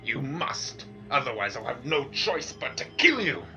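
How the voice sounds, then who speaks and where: Arrogant god of darkness played by a man, very slow and menacing, forceful edge, music behind. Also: mid-range voice, big pitch swings, heavily acted. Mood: threatening. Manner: slow, scoffing, threatening. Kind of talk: dramatic audio story reading.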